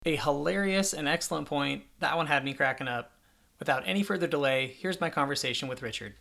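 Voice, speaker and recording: Higher-voiced male podcast host in his thirties. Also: brisk, gravelly, bright, forceful tone. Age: 30s. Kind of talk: conversational interview hosting.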